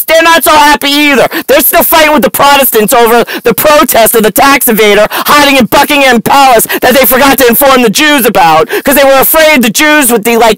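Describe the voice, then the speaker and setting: A woman's voice: fairly high pitch, dry recording, rough recording. A woman in her forties rambling to camera in a blunt, sardonic, stream-of-consciousness monologue recorded up close inside a car. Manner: blunt, sardonic, profane, animated hand gestures. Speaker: vlogger. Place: inside a parked car at night.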